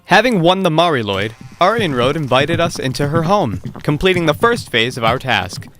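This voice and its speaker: A man's voice: fairly high pitch, big pitch swings, moderate pace. A man playing a theatrical bard, narrating a folk-tale quest in a declamatory storytelling way. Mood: measured storytelling. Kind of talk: scripted narration and rhymed declamation. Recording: music loud behind the voice.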